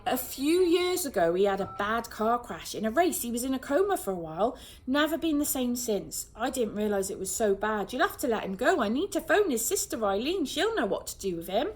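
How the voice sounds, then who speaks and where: A woman's voice: a little high, very animated, fairly quick, pitched above the performer's own voice. A woman voicing a flustered, emotional mother who stammers when surprised. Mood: gentle. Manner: breathless, stammering.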